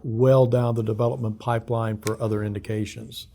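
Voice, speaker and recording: A man over fifty, a guest expert, answering in a measured, halting way with many restarts. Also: lowish voice, little pitch movement, dark tone. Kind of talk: studio discussion.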